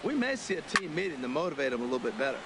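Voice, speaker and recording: A man's voice: a little high, very animated, rapid-fire. A man playing a folksy, opinionated baseball color commentator in a video game, analysing the play conversationally. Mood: musing, critical. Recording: clear stadium noise behind voice.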